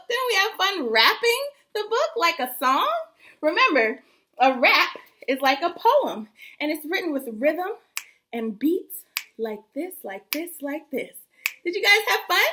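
A young woman teacher reading aloud to small children in a rhythmic, sing-song rap, animated and encouraging. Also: extremely high pitch. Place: small plain room indoors. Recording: faint background noise.

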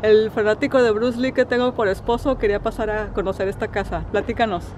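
A woman's voice: mid-range voice, fairly even intonation, a little fast, a little mellow, slight background noise. A middle-aged woman hosting a family travel vlog, narrating to the camera. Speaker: travel vlogger and host. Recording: music over the voice.

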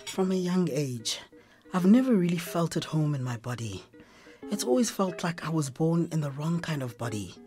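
A performer playing a gentle, weary transgender woman teacher in a radio drama, with a South African accent. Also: low voice, expressive, normal speed. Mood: earnest.

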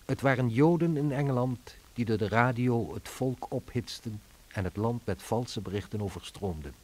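A man's voice: medium pitch, moderate pace, a little mellow. A man narrating a radio drama in a scripted, dry and ironic way.

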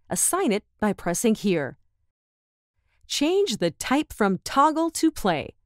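A woman narrates a scripted tutorial, giving step-by-step instructions. Her pitch moves up and down in an animated way. The recording is dry, as from a close voice-over microphone. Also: no echo.

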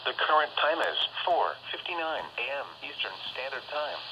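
A synthetic male announcer reads weather reports in a flat, even delivery. His bright, sharp tone comes through a small radio speaker, with faint hiss underneath. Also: a little high, moderate pace, dull audio. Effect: played through a small radio speaker. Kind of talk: automated weather report reading. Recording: radio broadcast played from a speaker.

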